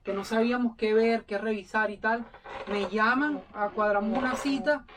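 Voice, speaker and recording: A man's voice: sits high, fairly even intonation, moderate pace, fairly bright tone, recorded from a distance, slight background noise. A man who is a sailing YouTuber, chatting casually in an interview, rambling and promoting his services.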